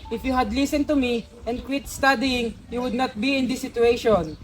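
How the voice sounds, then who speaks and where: A woman's voice: on the high side, moderate pace, natural voice, rough recording. A woman playing a stern, dismissive older brother in an amateur radio drama, scolding with a Filipino accent.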